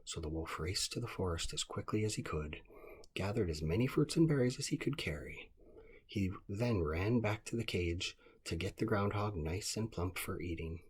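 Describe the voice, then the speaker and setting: A man with a deep, strongly husky voice reads a children's story aloud. His delivery is calm scripted narration, made for bedtime listening. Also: normal speed.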